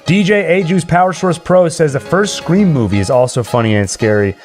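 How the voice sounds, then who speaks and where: A man's voice: on the high side, lively intonation, a little fast, a little mellow. A man hosting a casual livestream, chatting and speaking directly to viewers. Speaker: livestream host. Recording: music playing behind the voice. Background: game and tune music.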